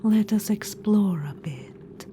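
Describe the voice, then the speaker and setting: A woman voicing an ancient undead witch-queen in an ASMR roleplay. She whispers softly, coaxing and a little condescending, and calls the listener darling. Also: mid-range voice, expressive, slow pace, background noise. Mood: sly.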